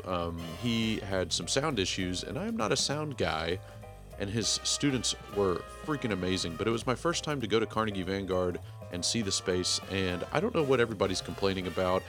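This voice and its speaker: A man in his forties hosting a podcast, giving a rambling, self-deprecating intro with emphatic, clearly enunciated speech. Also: deep voice. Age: 40s. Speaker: podcast host. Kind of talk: casual podcast intro monologue.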